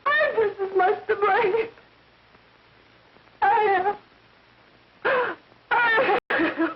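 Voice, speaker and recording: An actress playing a guilt-ridden, distraught woman making a halting, anguished confession in 1930s melodramatic film style. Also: very high voice, full character voice. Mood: tearful, anguished. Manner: anguished, halting, tearful delivery. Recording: dull noisy 1930s film soundtrack.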